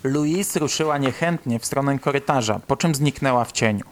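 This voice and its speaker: Male audio drama narrator, medium pitch. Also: normal speed, slight background noise.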